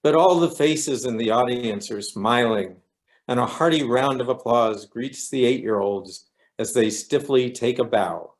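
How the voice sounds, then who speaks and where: Unhurried man reciting poetry. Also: medium pitch. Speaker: poet.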